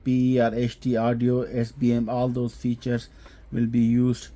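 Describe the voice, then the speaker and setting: A man giving an unscripted technical product demonstration, explaining haltingly with frequent hesitations and repeats. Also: medium pitch, completely flat delivery, mellow. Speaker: host demonstrating audio equipment.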